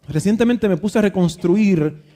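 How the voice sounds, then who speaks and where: Man, Dominican accent, preacher, reflective, restarts words, slightly high voice, warm tone. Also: measured pace. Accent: Dominican Spanish. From Dominican Republic. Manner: reflective storytelling with frequent restarts. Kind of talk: Bible reading and devotional talk.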